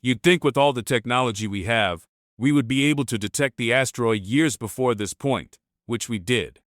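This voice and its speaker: A man playing a solemn government spokesman giving a formal, measured, rhetorical address to the nation in a disaster drama. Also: mid-range voice, a bit bright, no room sound, studio quality, lightly acted. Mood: grave, explanatory. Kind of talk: formal dramatic televised speech.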